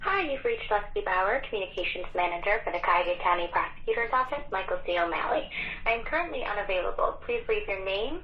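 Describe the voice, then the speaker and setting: Woman, quick polite voicemail, roomy and dull sound. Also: mid-range voice, expressive, noisy background. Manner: polite, scripted. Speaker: office communications manager. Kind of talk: voicemail greeting. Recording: muffled echoey voicemail recording.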